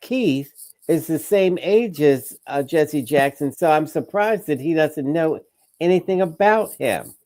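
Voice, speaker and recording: A man's voice: on the high side, lively intonation, unhurried, darkish tone. An American man debating and bantering as a panelist, argumentative and insistent, often repeating himself. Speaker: talk show panelist.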